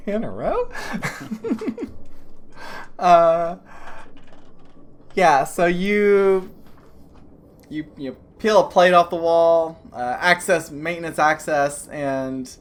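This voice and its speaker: A woman's voice: fairly low pitch, lively intonation, drawn-out words, long pauses. Chatty woman narrating as game master. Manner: loose and chatty, many fillers, laughs often.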